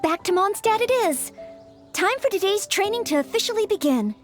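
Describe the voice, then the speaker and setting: A sweet-mannered young maid knight-in-training for a video game, courteous and eager. Her voice sits high and moves with lively, swooping intonation over music. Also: normal speed. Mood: earnest. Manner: gentle, courteous, eager, slightly formal.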